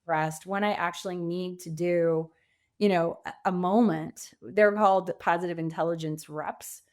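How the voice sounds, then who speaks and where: A woman's voice: fairly low pitch, fairly even intonation, a little slow. A woman answering as a guest coach in a podcast interview, speaking informally and emphatically, often acting out quoted thoughts.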